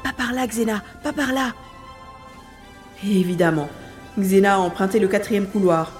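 A brave young boy on the run, played by a woman, speaks in an audio serial with music behind. The delivery is urgent, narrating each move in a middling pitch. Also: moderate pace, lightly acted. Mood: anxious, alert. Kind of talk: dramatised audio serial acting.

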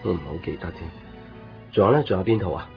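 Earnest young suitor, Hong Kong Cantonese, lowish voice, muffled 1990s radio drama sound. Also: male voice, expressive, very fast, vocal fry, faint music behind. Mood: puzzled. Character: earnest, lovestruck young man courting a woman. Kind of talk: naturalistic 1990s radio drama dialogue and inner monologue.

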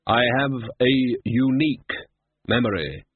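A man voicing a towering, haughty, immortal Death in a slow, measured, solemn way, on a tinny lo-fi recording. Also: medium pitch, lively intonation, husky, no room sound. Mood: grave.

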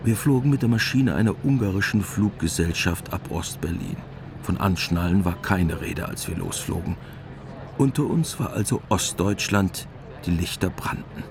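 A middle-aged actor playing a weary, wry war veteran, narrating a literary radio drama in a laconic, resigned way. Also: male voice, low-pitched, lively intonation, moderate pace, vocal fry, noisy background.

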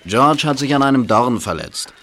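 A man playing a scheming male smuggler in a radio play, gruff and bitter. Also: medium pitch, lively intonation, moderate pace, pushed and bright. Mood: defensive. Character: scheming adult male smuggler vet, cornered. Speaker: villain. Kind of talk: amateur radio play acting.

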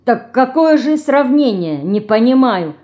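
A woman's voice: medium pitch, animated, unhurried, darkish tone, close to an everyday voice. A woman voicing a prim young older sister, indignant and scolding, in a storybook reading.

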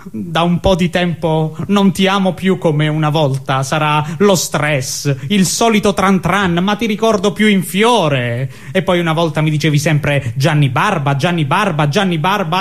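Male actor as forlorn husband, fairly high voice, varied intonation, muddled, plaintive, halting, absurdist stage monologue. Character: lonely, muddled husband talking to silent wife.

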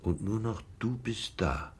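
An actor playing a bitter, insecure middle-aged former general, a wounded son, in a naturalistic radio drama. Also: male voice, on the low side, expressive, measured pace, breathy edge, exaggerated voice. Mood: subdued, intense.

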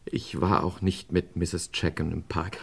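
An actor playing a flustered, earnest young nephew in a radio play, hesitant and defensive, with a husky voice. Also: male voice, low-pitched, little pitch movement, unhurried, heavily acted. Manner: hesitant, stammering.

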